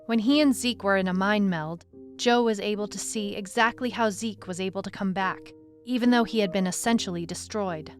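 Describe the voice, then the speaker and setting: A woman's voice: mid-range voice, moderate pace, lightly acted. Determined female detective, played by a woman, mid-range voice, first-person audio drama narration with music underneath. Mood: brooding, reflective. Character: determined female detective telling her own case. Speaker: lead narrator.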